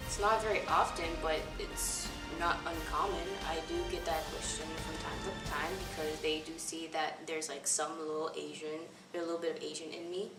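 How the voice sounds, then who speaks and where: A woman talks through interview answers in a casual, rambling way, stopping and restarting often and slipping in light laughs. Her voice sits a touch low for a woman. The mic is far off in an echoey room, with music playing clearly behind her. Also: level tone, moderate pace, few pauses. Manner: hesitant, rambling, many fillers and restarts. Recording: roomy echo, distant mic, music behind.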